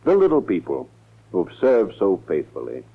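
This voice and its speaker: An actor playing a smug, falsely modest politician who speechifies pompously in a 1940s radio drama. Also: male voice, sits low, wildly swooping pitch, moderate pace, breathy edge, full character voice. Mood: smug, gracious. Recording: tinny 1940s radio recording.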